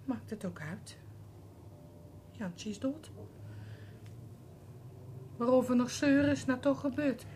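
Radio play mother, female: middle-aged, high voice, curt, suspicious and worn down, on a noisy recording. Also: animated, slow, long pauses, darkish tone. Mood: bitter, resigned. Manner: curt, colloquial, grumbling. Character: weary, suspicious, hard-bitten mother of a criminal. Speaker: actress in a crime radio drama. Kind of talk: Dutch radio play acting.

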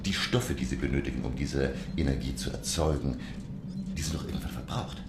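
An actor playing a learned, curious professor who narrates his undersea adventure in a radio play, gravelly and measured. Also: very noisy. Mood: curious, probing. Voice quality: very deep, gravelly. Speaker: narrator and character.

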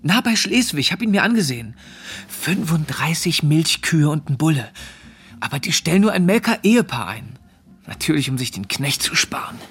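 An actor playing an earnest seventeen-year-old farmhand and hopeful suitor in a naturalistic radio play, plain-spoken and coaxing. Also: male voice, a little high, fairly bright tone, breathy edge, heavily acted. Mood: eager, persuading. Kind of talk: naturalistic radio play acting with first-person narration.

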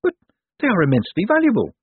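A man voicing a sharp, sceptical, persistent woman newspaper reporter in an audiobook, with pointed questions in a raised voice. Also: sits high, wildly swooping pitch, fast talker, breathy edge, dry recording, pitched above the performer's own voice, heavily acted. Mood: incredulous. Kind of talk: audiobook character voice. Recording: thin phone-like narrow-band audio.

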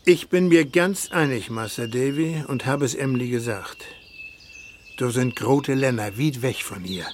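An actor playing a warm-hearted, devoted older seaman with rustic Low German speech in a radio drama. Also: unhurried, slight background noise, lightly acted. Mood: earnest, hopeful.

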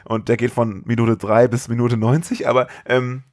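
Male podcast co-host, ordinary mid-range voice, casual, excitable talk peppered with slang and curses. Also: mid-range voice, normal speed. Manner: slangy, sweary, enthusiastic. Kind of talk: casual chat.

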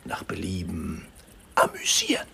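An actor playing a bitter, hard-drinking writer, sardonic, weary and dismissive, in a German radio crime drama. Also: male voice, low-pitched, wildly swooping pitch, unhurried, vocal fry, pushed and bright, breathy edge, whispered, heavily acted. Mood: bitter, cynical.

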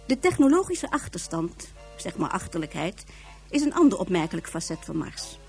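A woman's voice: on the high side, a little mellow. A woman performing as the narrator of a science fiction radio serial, reading in a scripted, informative documentary style.

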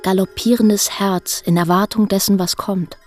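An actress playing a weary woman who longs for God, reciting poetic radio drama in a measured, lyrical way. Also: medium pitch, fairly even intonation, a little mellow, natural voice. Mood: yearning, heavy. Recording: music under the voice. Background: music.

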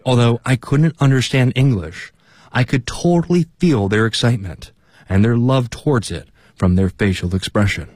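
A man giving a calm, measured English voice-over for an interviewed drummer. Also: mid-range voice, no echo, clean studio sound. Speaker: drum troupe member, dubbed English voice-over. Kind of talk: translated voice-over reading.

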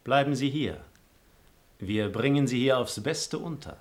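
An actor playing an arrogant, suave aristocratic libertine in a classic radio drama, speaking with cool, haughty composure. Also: male voice, mid-range voice, unhurried, natural voice. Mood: suave courting.

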